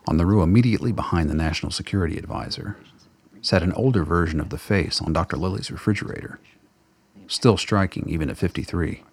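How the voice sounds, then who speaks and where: A man's voice: deep voice, quick pace, husky. A man reading scripted dramatic narration as the narrator of a radio play.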